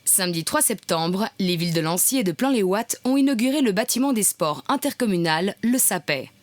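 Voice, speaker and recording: Woman, scripted report narration, medium pitch, dry close recording. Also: lively intonation, brisk, dry recording.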